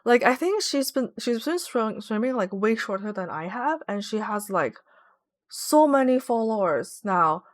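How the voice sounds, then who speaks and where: Young female streamer, slightly high voice, dry close sound, chatty and rambling. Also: very animated, unhurried, no echo. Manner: chatty and rambling, with many "like" fillers. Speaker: Twitch streamer. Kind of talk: casual live-stream chat while gaming.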